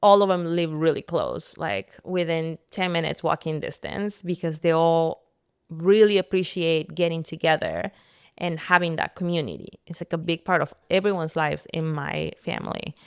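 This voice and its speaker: Smooth-voiced woman, lower pitched. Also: normal speed, dry recording, studio quality. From Peru. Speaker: podcast guest, entrepreneur and content creator. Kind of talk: personal storytelling in casual conversation.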